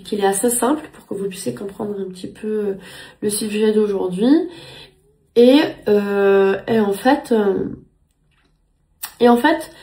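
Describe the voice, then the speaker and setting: A woman in her thirties whose first language is Spanish talks earnestly to her viewers from home. Her voice is mellow and warm with a gravelly edge, and she often repeats words while she searches for her phrasing. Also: mid-range voice. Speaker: vlogger. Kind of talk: casual talk to camera.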